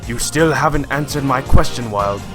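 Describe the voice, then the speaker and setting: A man's voice: mid-range voice, normal speed, music in the background, lightly acted. A man voicing a stern, skeptical buffalo police chief, speaking formally as a prosecutor in an amateur audio drama. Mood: stern, dismissive.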